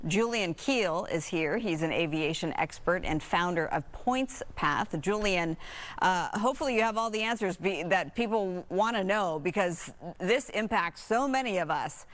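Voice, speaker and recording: A woman's voice: fairly low pitch, expressive, normal speed. An American woman anchoring a live TV news interview, asking questions in a conversational way.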